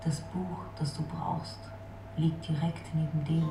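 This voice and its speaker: A woman's voice: low voice, fairly even intonation, measured pace, mellow. A woman playing a cryptic inner counsellor who echoes and advises in a poetic radio play. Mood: cryptic, hushed. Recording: echoing voice under clear music.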